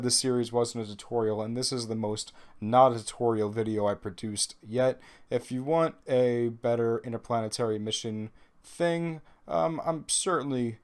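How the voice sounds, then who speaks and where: A man with a Brooklyn accent, a little nasal from a cold, giving chatty, self-deprecating voice-over commentary on his gameplay. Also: medium pitch. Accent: New York (Brooklyn). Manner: chatty, self-deprecating, rambling with ums and restarts. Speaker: gaming video host.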